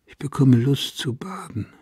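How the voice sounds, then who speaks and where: A man voicing a deranged, childlike and dreamy madman in an audio drama, talking to himself in a raspy voice. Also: mid-range voice, expressive, darkish tone, dry recording, clean studio sound, voice pushed up. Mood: dreamy, blissful. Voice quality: raspy, husky, creaky. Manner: slow, rambling talk to himself. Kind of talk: German audio drama of a literary story.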